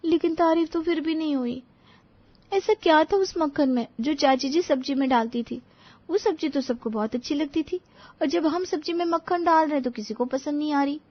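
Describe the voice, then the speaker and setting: A woman voicing an eager, naive young daughter-in-law, respectful and earnest, in an animated moral story. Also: high voice, normal speed, no room sound, highs cut off, pitched above the performer's own voice, close to an everyday voice. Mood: dismayed.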